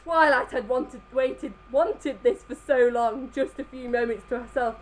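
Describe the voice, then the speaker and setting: A woman's voice: sits high, unhurried, a little hiss or hum. A woman reading fan fiction aloud live, stumbling over the text as she goes. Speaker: reader in a group comedy reading.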